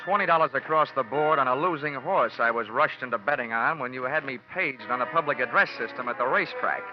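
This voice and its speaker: A man's voice: mid-range voice, quick pace, natural voice. Man playing a wisecracking old-time radio private investigator. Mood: dry, wry. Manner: dry, sardonic, wisecracking. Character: wry, wisecracking male freelance insurance investigator. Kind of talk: 1940s radio detective narration and acting. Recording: muffled 1940s radio broadcast, music behind.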